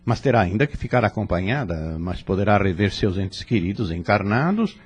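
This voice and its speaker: A Brazilian man playing a calm, kindly spirit instructor with patient authority and a husky voice in an audio drama. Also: fairly low pitch, normal speed. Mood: warm, pleased.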